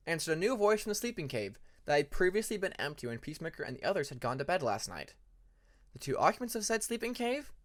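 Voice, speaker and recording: A man's voice: a little high, fairly bright tone. A man narrating fantasy fiction as an audiobook reader.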